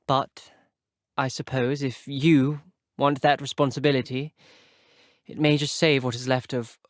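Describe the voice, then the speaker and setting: A man's voice: mid-range voice, fairly bright tone, no echo, clean studio sound. A young man with a British accent voicing an earnest, guarded teenage wizard schoolboy in a video game, speaking in clipped, formal phrases. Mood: reluctant, resigned. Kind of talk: video game character dialogue.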